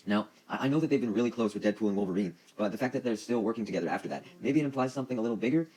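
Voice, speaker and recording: A man with a low-pitched voice talks at a quick clip. He delivers news commentary in a casual, opinionated way, like an online host. His wording is informal and peppered with swearing. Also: expressive, darkish tone, low-quality recording.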